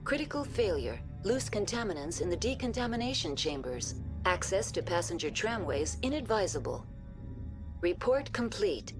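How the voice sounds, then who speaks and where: A woman's voice: mid-range voice, very animated, normal speed, over music. A woman voicing a polite, unemotional female computer intelligence in a video game, speaking in formal, procedural system announcements. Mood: calm, impassive. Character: polite, unemotional female AI running a research station. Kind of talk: scripted video game dialogue.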